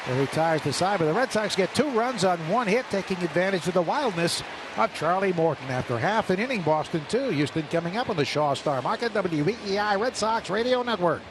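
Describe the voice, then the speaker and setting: A man calling play-by-play baseball on radio, brisk and detailed, rising with the action. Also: high voice, lively intonation. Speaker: play-by-play announcer. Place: ballpark broadcast booth. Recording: radio broadcast with background noise.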